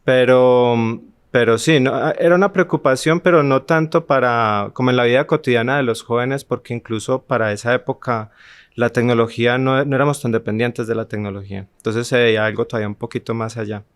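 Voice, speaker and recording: Man in his forties, resonant low voice, dry close mic, halting and reflective. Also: fairly even intonation, clear, resonant tone, dry recording. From Armenia, Colombia. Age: 40s. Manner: hesitant, many restarts and fillers. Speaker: guest. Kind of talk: conversational interview, reminiscing.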